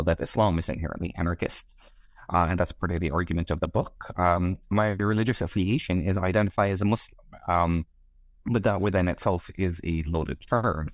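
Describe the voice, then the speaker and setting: A man's voice: low-pitched, no echo. A middle-aged man from Egypt, a scholar being interviewed, speaking in wordy, hesitant academic phrasing.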